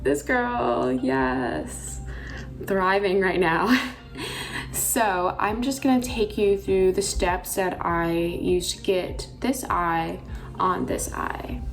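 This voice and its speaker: A young woman with a raspy voice, chatting casually through a makeup tutorial with lots of filler words. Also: on the low side, lively intonation, drawn-out words, soft background music. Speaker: beauty vlogger.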